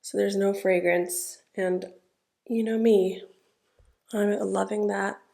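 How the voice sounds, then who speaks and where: A woman's voice: medium pitch, slow pace, gravelly. A young woman with a stuffed-up nose who chats casually through a makeup tutorial, narrating every step with lots of "like" and "um". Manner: chatty, relaxed, narrating each step with fillers. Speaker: beauty vlogger.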